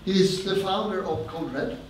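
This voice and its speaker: A man's voice: sits high, moderate pace, distant mic, a little hiss or hum. A man moderating a conference, introducing a speaker in an informal, joking way. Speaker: event moderator. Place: large conference hall. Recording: echoey hall, voice from afar.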